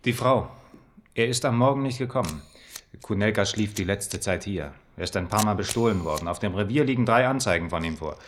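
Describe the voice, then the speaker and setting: Wry, low-pitched male detective played by an actor. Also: lively intonation, fairly quick, a little hiss or hum, natural voice. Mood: matter-of-fact. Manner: laconic, wry, colloquial. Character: dry, shrewd male police detective reporting to his chief. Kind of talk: German radio crime play dialogue.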